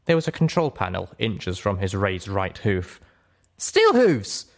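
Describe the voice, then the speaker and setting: A man narrates an audiobook in the first person. His voice is low, with very lively intonation and a dramatic storytelling delivery. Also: normal speed.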